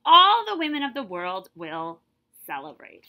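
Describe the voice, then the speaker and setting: A woman's voice: a little high, wildly swooping pitch, a little slow, vocal fry, pushed and bright, heavily acted. A woman voicing a determined, defiant young woman swimmer in a storybook, calling out emphatically.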